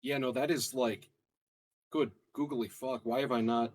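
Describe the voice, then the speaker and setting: A man's voice: medium pitch, fairly even intonation, measured pace, a little mellow, slight background noise. A man with a mid-range voice plays a tabletop game. He talks in a loose, casual, sweary style.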